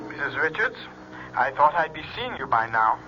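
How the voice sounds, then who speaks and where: An actor playing a menacing, insinuating fortune teller who makes veiled demands in an old-time radio drama. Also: male voice, on the high side, sing-song, sharp tone, faint music behind, heavily acted. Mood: quiet menace. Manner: slow, insinuating, threatening. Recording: dull, lo-fi old radio with faint hum.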